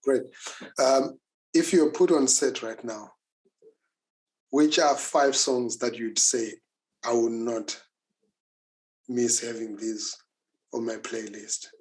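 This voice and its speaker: Male interviewer, middle pitch, relaxed and informal, restarts often. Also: slow, pauses often, poor audio. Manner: informal, repeats words, uses slang. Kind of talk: casual interview.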